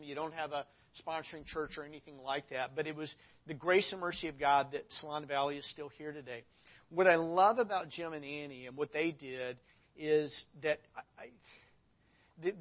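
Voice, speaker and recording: A man, a pastor hosting a casual interview, talking in a rambling way with restarts. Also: a little high. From Arkansas. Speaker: pastor and host. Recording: dull lo-fi audio.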